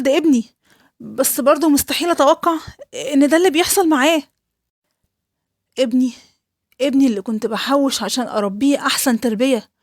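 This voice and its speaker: Anguished Egyptian father, put on by a woman, loud and accusing, high and dry. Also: dry recording. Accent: Egyptian Arabic. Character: distraught angry father far from home.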